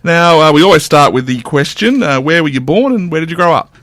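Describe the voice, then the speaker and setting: A man's voice: a little high, lively intonation, a little fast, a bit bright, dry recording. Australian man hosting a podcast interview. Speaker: podcast host. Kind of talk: conversational interview.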